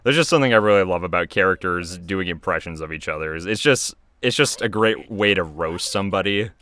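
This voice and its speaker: A man's voice: low-pitched, animated, fairly quick, sharp tone, dry recording. A man giving casual, unscripted commentary as a video creator, rambling and chuckling in a conversational way.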